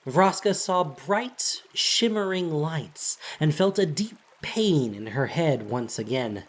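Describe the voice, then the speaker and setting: A man's voice: a little high, lively intonation, takes it slowly. A man reading scripted fantasy narration in a dramatic storytelling style, as the narrator of an audio drama.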